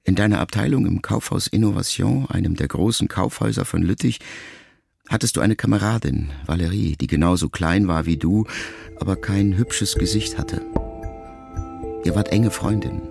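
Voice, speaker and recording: A man reading a literary memoir aloud as an audiobook, in a calm, measured first-person voice. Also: low-pitched, creaky, music in the background. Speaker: audiobook narrator.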